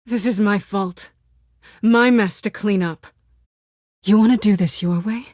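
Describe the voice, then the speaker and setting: A woman's voice: medium pitch, measured pace, darkish tone, no echo, studio quality, close to an everyday voice. A woman voicing a sly, self-assured cat burglar in a video game, with a sultry, wry, coolly teasing way of talking. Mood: grim, determined. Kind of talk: video game voice acting, dramatic dialogue.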